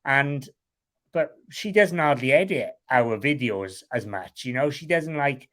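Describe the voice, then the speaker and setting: A man's voice: mid-range voice, animated, unhurried, fairly bright tone. Frank Welsh man, rambling. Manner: frank and rambling, swears casually. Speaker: guest, online seller. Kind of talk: candid interview chat.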